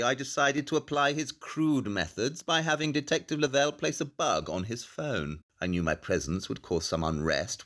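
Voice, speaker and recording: A self-assured genius detective, audio drama, precise and theatrical, pitch moves around loads. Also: male voice, fairly bright tone. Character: brilliant, self-assured male Victorian-style detective. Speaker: actor in an audio play.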